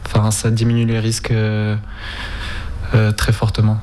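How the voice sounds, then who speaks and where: Even-toned young man, hesitant and plain-spoken. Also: fairly low pitch, normal speed, slight background noise. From Ukraine, of Spanish nationality. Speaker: interview guest, volunteer and documentary maker. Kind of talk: informal spoken interview answers.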